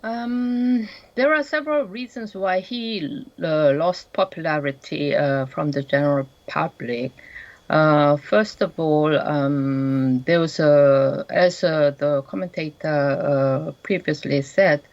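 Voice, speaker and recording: A very low-pitched woman from South Korea speaks as a panel guest, slow and halting with many pauses. Her voice has a gravelly edge and comes through a dull, lo-fi remote link. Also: darkish tone. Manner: slow, hesitant, heavy with fillers. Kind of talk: panel discussion commentary.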